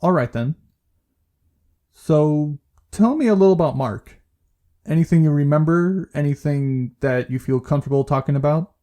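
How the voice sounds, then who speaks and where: A woman playing a calm, tactful therapist who gently asks questions in an audio drama. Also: low-pitched, normal speed, lightly acted. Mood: gentle, careful.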